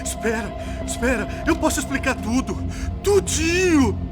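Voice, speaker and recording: A man's voice: extremely high pitch, animated, moderate pace. An actor playing a cowardly Brazilian researcher with a Brazilian accent, pleading frantically for his life in a game cutscene. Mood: terrified begging. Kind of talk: cinematic game cutscene acting. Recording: music behind the voice.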